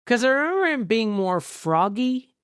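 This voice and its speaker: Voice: medium pitch, big pitch swings, normal speed, fairly bright tone, clean tone, dry recording. Podcast co-host chatting casually, dry close sound. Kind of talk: casual movie discussion chat.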